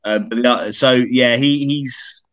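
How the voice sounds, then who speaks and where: A man's voice: mid-range voice, steady tone, slow pace, a bit bright. A man co-hosting a podcast, chatting in an easygoing way with stumbling restarts. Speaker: podcast co-host. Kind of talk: casual chat.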